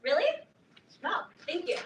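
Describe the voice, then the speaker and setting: A woman's voice: unhurried, natural voice. A young woman in a staged reading, playing a shy, eager teenage newcomer who speaks hesitantly and awkwardly. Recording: echoey stage, distant mic, noise.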